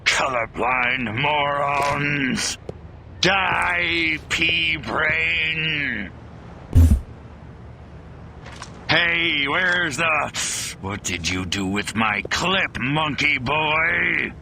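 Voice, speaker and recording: Brief: a cocky little alien with a gruff, taunting edge, ambient noise behind. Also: male voice, on the high side, drawn-out words, full character voice. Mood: snarling. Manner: gruff, snarky, theatrical insults. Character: cocky, sarcastic small male alien invader. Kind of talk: video game cutscene acting.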